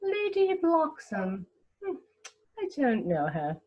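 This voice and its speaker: A woman playing a haughty, snobbish older aristocratic lady in a comic play reading, imperious and pompous, with deliberate enunciation. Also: high voice, huge pitch swings, muffled recording, full character voice. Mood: imperious, probing.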